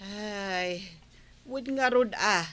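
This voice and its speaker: A woman's voice: medium pitch, drawn-out words, fairly bright tone. An actress playing a nagging, complaining village woman with an Ilocano accent in a comedy radio drama.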